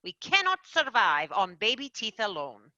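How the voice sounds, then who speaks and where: Woman voicing a prim fairy manager, formal and measured, bright lively voice, dry dull recording. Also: mid-range voice, no room sound. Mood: formal, brisk. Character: brisk woman boss of the tooth fairies. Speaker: manager firing an agent.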